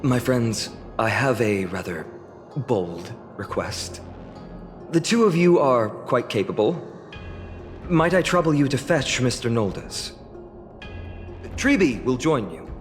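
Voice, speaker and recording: A courteous young fantasy game hero, speaks in a medium male pitch. He is composed and sincere, his words carrying long reverb over faint music. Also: very animated, slow, long pauses. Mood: earnest, resolute. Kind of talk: dramatic video game voice acting.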